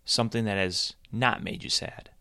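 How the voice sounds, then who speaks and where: A man's voice: lowish voice, steady tone, normal speed, pushed and bright, clean tone, no room sound. A man voicing a cheerful, always-happy male bunny who talks in an eager, encouraging way.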